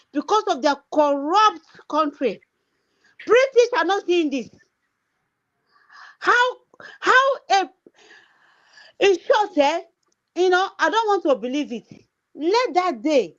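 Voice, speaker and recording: A woman with a Nigerian accent, Igbo by first language, giving an impassioned activist monologue on a livestream, repeating herself and breaking off mid-sentence. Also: very high-pitched. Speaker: political livestream host. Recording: dull lo-fi stream audio.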